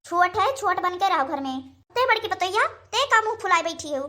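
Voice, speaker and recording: Woman doing a bossy old Awadhi mother-in-law, high-pitched. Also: animated, a little fast, bright, forceful tone, exaggerated voice. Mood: scolding. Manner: hectoring, repeating tags as threats. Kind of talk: cartoon dialogue.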